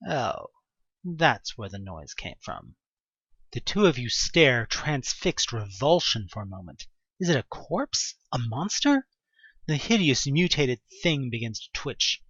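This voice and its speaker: A man narrating a horror audio play in the second person, with an expressive, suspenseful delivery. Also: mid-range voice, no room sound, muffled recording.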